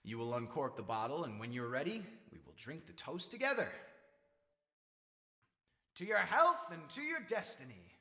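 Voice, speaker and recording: A man's voice: a little high, very animated, moderate pace, long pauses, sharp tone, slightly rough, exaggerated voice. A man playing a pompous, sneering, megalomaniacal dictator in old-time radio horror style. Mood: pompous, menacing. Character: megalomaniacal, murderous male dictator gloating madly.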